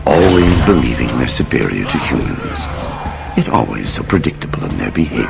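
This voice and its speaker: A man's voice: a bass voice, big pitch swings, fairly quick, vocal fry, heavily acted. An actor playing a sinister male machine mind who speaks of mutants with contempt, with heavy music underneath. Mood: scornful.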